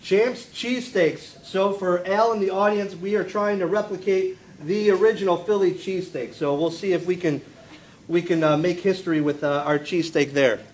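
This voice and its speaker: Man, high voice, informal live presentation, halting and jokey delivery, faint background noise. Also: fairly even intonation, a little mellow. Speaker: food and beverage presenter at a press conference. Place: live press event at an arena. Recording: clearly noisy live event with room ambience.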